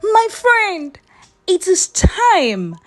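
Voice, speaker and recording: A woman voicing an excitable, ambitious young village woman in a folktale, gushing and boastful. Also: very high-pitched, drawn-out words, voice pushed up, heavily acted. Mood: overjoyed.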